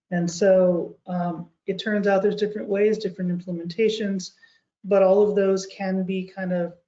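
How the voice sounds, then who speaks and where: A woman's voice: medium pitch, dark tone. A woman panelist in a webinar debate, thinking aloud with frequent restarts over a video call. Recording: echoey, dull video call audio.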